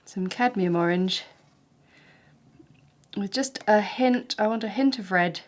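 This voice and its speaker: A woman, a little snuffly with hay fever, talking through a watercolour painting tutorial in a gentle, chatty, encouraging way. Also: mid-range voice, takes it slowly. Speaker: watercolour art teacher.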